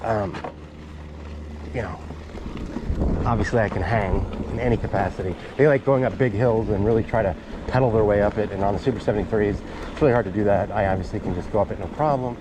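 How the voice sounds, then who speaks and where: A man vlogging excitedly while riding an ebike on dirt hills, talking into a chest-mounted action camera, rambling and swearing casually. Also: lowish voice, fast. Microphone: GoPro chest mount camera. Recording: loud wind-buffeted action camera audio. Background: loud wind noise on the mic.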